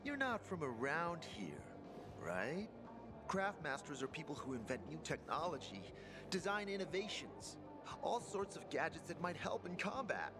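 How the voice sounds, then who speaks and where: An actor playing a nervous young male apprentice inventor who rambles and swings between gloom and excitement, over game music. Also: fairly high pitch. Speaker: apprentice craftsman. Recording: game soundtrack music behind.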